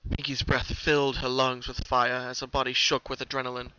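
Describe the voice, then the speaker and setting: A man's voice: medium pitch, completely flat delivery, brisk, clean tone, highs cut off. A man giving a dramatic scripted narration of a fan fiction story as the reader. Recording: very loud background noise.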